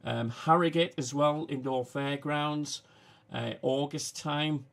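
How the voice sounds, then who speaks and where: A man with a Manchester accent chats casually on a livestream, his voice gravelly and fairly flat. He stops and starts, filling gaps with "uh" as he talks. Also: on the high side. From England. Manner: halting, frequent "uh" fillers. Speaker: livestream host.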